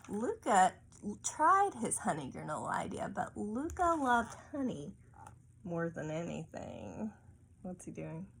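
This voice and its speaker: A woman's voice: fairly low pitch, wildly swooping pitch, a little slow, vocal fry, fairly bright tone. A woman reading a picture book aloud to a child, often stopping to ask coaxing little questions. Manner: asks coaxing tag questions of a child listener. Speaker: storybook reader. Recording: faint background room noise.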